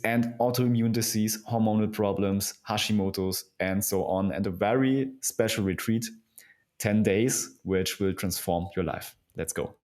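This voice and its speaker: A man's voice: fairly low pitch, a little mellow. A man whose first language is German hosting a podcast in English with simple, repetitive phrasing. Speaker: podcast host. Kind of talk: podcast intro and interview questions.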